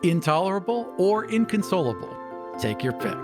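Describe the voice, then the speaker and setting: A man's voice: high-pitched, very animated, normal speed, loud music behind the voice. A man co-hosting a tabletop gaming show, speaking in earnest, articulate, formally phrased sentences. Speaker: show co-host and player. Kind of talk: tabletop role-play talk and sincere appeal.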